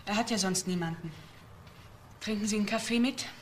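A woman's voice: medium pitch, brisk, slight background noise, natural voice. An actress playing a weary, reserved young woman, a protective sister, in a German crime radio drama.

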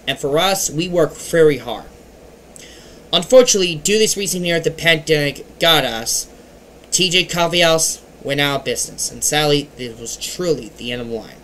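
Young guy on his webcam in his bedroom, kinda high bright voice, halting and earnest, little hum behind. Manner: earnest, halting, with swings in loudness. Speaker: channel host. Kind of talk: casual webcam vlog. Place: dim bedroom at home. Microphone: webcam at desk.

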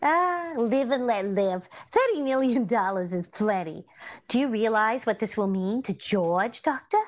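A woman's voice: on the high side, animated, a little slow. A middle-aged woman playing a cheerful, naively confident scatterbrained wife in a 1940s-style radio comedy. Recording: slightly dull online-call audio.

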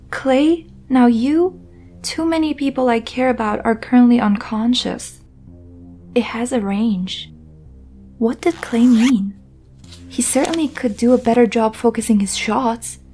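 Actress as fretful sensible young lady, high n husky, comic dub. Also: darkish tone. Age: young adult. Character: worried, practical, exasperated young woman.

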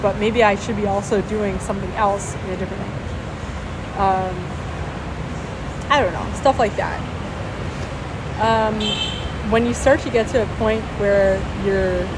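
A young woman whose first language is Farsi, musing aloud in a rambling, reflective vlog on a café rooftop, recorded close on a phone. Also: mid-range voice. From United States. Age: young adult. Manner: rambling, reflective, many fillers and restarts. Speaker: vlogger. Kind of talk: casual stream-of-consciousness monologue. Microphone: phone camera held low, close. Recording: loud background noise, air conditioning and traffic.

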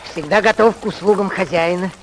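An actor in a radio play voicing a loyal, deferential manservant with proper, polite manners. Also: male voice, high voice, a little fast, a little hiss or hum. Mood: devoted, polite.

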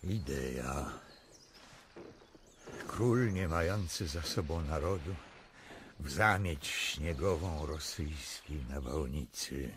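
A man's voice: extremely low pitch, lively intonation, slow pace, pauses often, background noise, heavily acted. An actor playing a proud, anguished, doomed Polish king in a radio drama, solemn and declamatory. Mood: resigned, sorrowful.

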